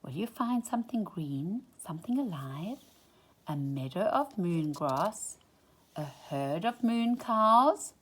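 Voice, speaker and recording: A woman reading a children's picture book aloud in an expressive, dramatic storytime style. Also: lowish voice, slow, husky. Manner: dramatic, drops into hushed whispers for suspense. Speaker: storytime reader.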